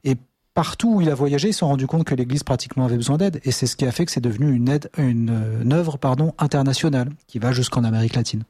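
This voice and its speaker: A man speaks haltingly, full of pauses and self-corrections. His voice is mellow and relaxed, and the recording is dry and close. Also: medium pitch, slightly rough, dry recording. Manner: hesitant, many fillers and restarts. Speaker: charity representative. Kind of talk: interview answers.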